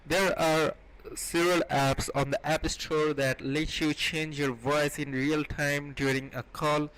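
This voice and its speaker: Guy with a slightly higher voice reading a how-to guide, bit halting. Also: little pitch movement, a bit bright, clean tone, poor audio. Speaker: tutorial host. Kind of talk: scripted how-to narration.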